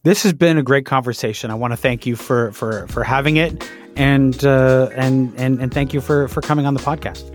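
A man's voice: mid-range voice, fairly even intonation, normal speed, soft background music. A man hosting a podcast, speaking hesitantly with many fillers and restarts. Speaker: podcast host. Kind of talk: podcast interview.

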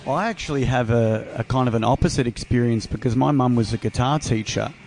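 A man's voice: mid-range voice, fairly quick, darkish tone. A man with an Australian accent hosting a podcast, speaking in an informal, matey way. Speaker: podcast host, interviewer. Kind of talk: casual interview chat.

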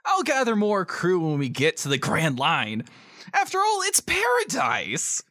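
A man's voice: high voice, huge pitch swings, measured pace, bright, forceful tone, pitched above the performer's own voice, heavily acted. A man playing a cheerful, naive young pirate captain in an amateur manga reading, boyish and exuberant. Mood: upbeat.

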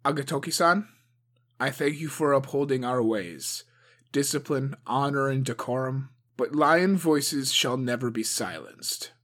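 A man voicing a calm, dutiful young male clan leader who speaks in a measured, grave way. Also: medium pitch, pitched above the performer's own voice. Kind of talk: audiobook character voice.

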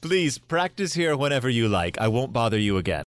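An actor in an audio drama playing a courteous, lonely grandfather in his seventies, speaking gently and reflectively. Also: medium pitch, very animated, brisk, slight background noise, lightly acted. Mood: gentle, courteous.

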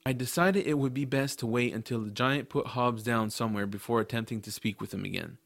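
A man's voice: medium pitch, a little fast, gravelly, a bit bright, no echo. A man playing an earnest, kind-hearted adventurous boy who narrates his own story in an audio drama. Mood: narrating, disgusted. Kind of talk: first-person audiobook narration with dialogue.

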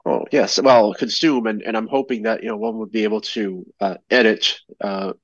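Husky man on a muffled line. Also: lowish voice, fairly even intonation, normal speed, no room sound. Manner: hesitant, many fillers and restarts. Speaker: caller. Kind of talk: casual questions on a call-in show.

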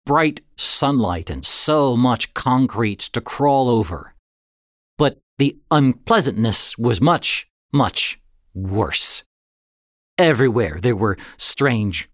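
A man narrating a story in a deliberate, dramatic way, with expressive phrasing and suspenseful pauses. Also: mid-range voice, no echo, clean studio sound. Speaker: audiobook narrator.

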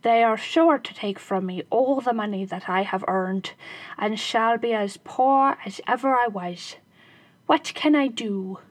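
A woman's voice: fairly high pitch, a little slow, no room sound. A woman doing a crafty little shoemaker. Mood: dismayed. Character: crafty little male shoemaker, clever and spirited.

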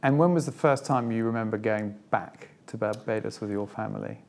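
A man's voice: fairly low pitch, a little fast, creaky. A man hosting an evening talk at a museum, formally introducing his guest from notes and then interviewing her. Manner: formal, reads from notes, gently humorous. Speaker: host of a talk event. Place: museum event space before an audience.

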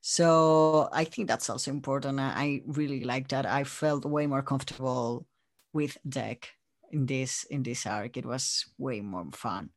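A man's voice: medium pitch, measured pace, no room sound. A man from Spain with a Spanish accent, chatting casually as an interview guest. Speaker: interview guest, audio drama creator.

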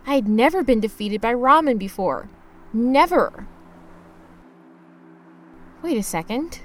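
A woman playing a scrappy, broke young mover who tells their misadventures in an expressive, slangy, playfully dramatic comedy audio-drama style. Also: a little high, slow pace. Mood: dejected, musing. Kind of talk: first-person narrated comedic audio drama with acted dialogue.

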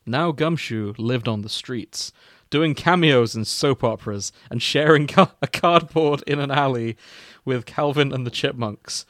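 A man's voice: mid-range voice, measured pace, a bit bright, no echo, studio quality. A man narrating fan fiction for comedy with casual asides, in an amused manner. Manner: amused, often breaking into laughter.

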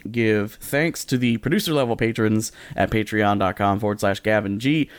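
A man's voice: fairly low pitch, normal speed, clear, resonant tone, no echo. A man co-hosting and editing a casual podcast from his living room, chatting in a playful, rambling way with jokey asides. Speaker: podcast co-host and editor. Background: radio sound effects and music.

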